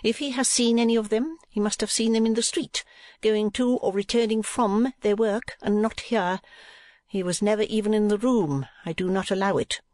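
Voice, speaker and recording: A narrator voicing a haughty, pouting middle-aged businesswoman wife, imperious and sharp-tongued, in an audiobook. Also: medium pitch, steady tone, no echo, studio quality, pitched above the performer's own voice, lightly acted. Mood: brisk, imperious. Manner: imperious, brisk, put-upon.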